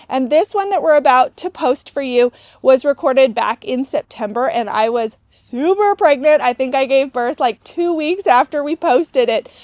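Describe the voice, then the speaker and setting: Woman in her thirties, flower-farm host, talks to camera in an easy, chatty way, slightly higher pitch, a bit stuffy from a cold. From Oklahoma, US. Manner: chatty, upbeat, lots of "like" fillers. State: sniffly with a head cold; heavily pregnant. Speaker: flower farmer and channel host. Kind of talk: casual talk to camera.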